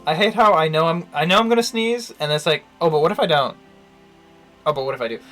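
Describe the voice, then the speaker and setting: A man's voice: fairly high pitch, lively intonation, quick pace, fairly bright tone. A man giving casual, joking live commentary as a game streamer, with a wry manner. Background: faint game music.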